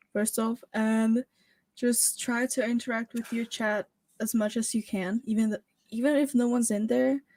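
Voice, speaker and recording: Swiss woman, giggly and halting. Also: fairly high pitch, warm tone, no room sound. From Switzerland. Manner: giggly, halting, many fillers. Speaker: guest streamer. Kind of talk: casual chat.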